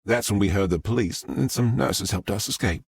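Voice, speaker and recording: A man voicing an excitable middle-aged Southern mother who rambles through her story in a drawl. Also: fairly low pitch, moderate pace, creaky, slightly rough, dry recording. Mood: awed. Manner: rambling, breathless storytelling with restarts.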